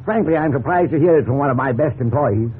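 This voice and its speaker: An actor playing a stern but supportive company boss in a 1950s radio drama, dry, formal and pointed. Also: male voice, mid-range voice, quick pace, lightly acted. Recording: noisy, tinny 1950s radio recording.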